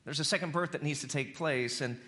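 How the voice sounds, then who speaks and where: A man preaching a conversational sermon as an animated storyteller, repeating phrases for emphasis. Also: on the high side, brisk. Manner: animated storyteller who acts out dialogue in slang.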